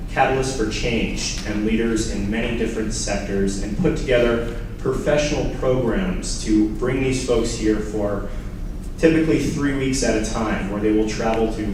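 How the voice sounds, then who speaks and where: Man giving welcoming remarks in an echoey hall. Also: mid-range voice. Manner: measured, with frequent fillers and restarts. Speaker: program organizer introducing visitors. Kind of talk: formal welcoming remarks at a panel event. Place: university lecture hall. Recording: echoey hall, distant mic, audible room noise.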